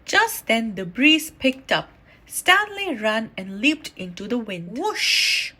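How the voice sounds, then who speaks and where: Woman, children's story narrator, bright tone, expressive swings, unhurried pace. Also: a little high. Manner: animated, deliberate storytelling with acted dialogue.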